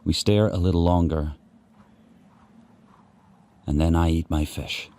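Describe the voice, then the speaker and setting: An actor playing a grim, watchful sled dog who narrates his harsh life in spare, deliberate sentences for a radio play. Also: sits low, mellow. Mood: wary, tense. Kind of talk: first-person dramatic narration in a radio play. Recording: faint background noise.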